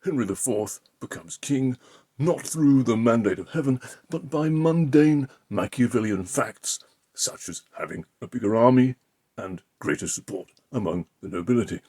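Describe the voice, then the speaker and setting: A man reading an opinion essay aloud as an impression of a pundit, with a pompous, deliberate delivery and emphatic pauses. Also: mid-range voice, expressive, darkish tone, slightly rough. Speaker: amateur reader of a political article.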